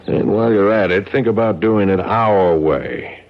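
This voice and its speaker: An actor playing an impatient, threatening gangster enforcer with slangy talk in a vintage radio drama. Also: male voice, on the low side, unhurried. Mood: cold menace. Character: menacing, impatient male gangster enforcer talking as an old pal. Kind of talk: 1970s radio drama acting. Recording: muffled old radio broadcast.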